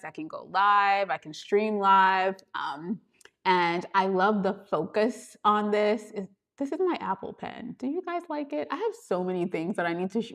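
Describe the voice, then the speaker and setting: A woman with a Trinidadian accent chatting live with viewers as a YouTube coach, bubbly and laughing often, recorded on a small handheld camera. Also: mid-range voice. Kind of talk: casual livestream chat with viewers. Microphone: handheld gimbal camera.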